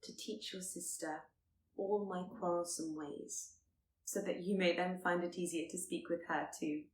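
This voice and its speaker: A woman voicing a spirited, candid and tender young Regency gentlewoman, articulate and earnest. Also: on the low side, steady tone, measured pace, roomy sound, a little hiss or hum, lightly acted, rough recording. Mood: teasing.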